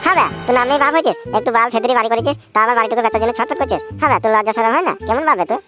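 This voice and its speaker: A woman's voice: on the high side, racing, close to an everyday voice. A woman voicing a scheming, foul-mouthed adult son in a comedy cartoon, coarse, nagging and wheedling. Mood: angry. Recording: music playing behind the voice.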